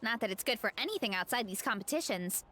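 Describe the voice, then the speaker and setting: Modest young contest champion; high, bright lilt, soft-spoken. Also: female voice, lively intonation, quick pace, slight background noise. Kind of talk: game cutscene voice acting.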